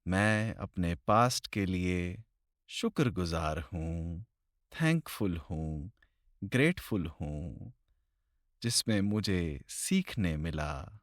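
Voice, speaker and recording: A man leading a guided meditation in slow, deliberate phrases with long pauses, recorded close to the mic. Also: sits low, no echo.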